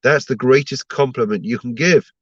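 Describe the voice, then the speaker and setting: A man's voice: medium pitch, moderate pace, fairly bright tone, breathy edge, dry recording, dull audio. A man giving an online Torah lesson to viewers, sounding stuffed up from a slight cold and teaching through rhetorical questions. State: blocked up with a cold. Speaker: rabbi giving a Torah class.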